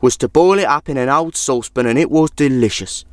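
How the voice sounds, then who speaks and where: A man's voice: mid-range voice, brisk, no room sound, studio quality, lightly acted. A man voicing a sly, hungry traveller in a folk tale, a persuasive trickster who coaxes. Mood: casual persuasion. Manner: coaxing, persuasive.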